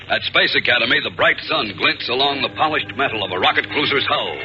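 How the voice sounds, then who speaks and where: A man's voice: medium pitch, brisk. An American man narrating a 1950s radio serial as its announcer, grand and emphatic. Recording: muffled old radio broadcast. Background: loud music.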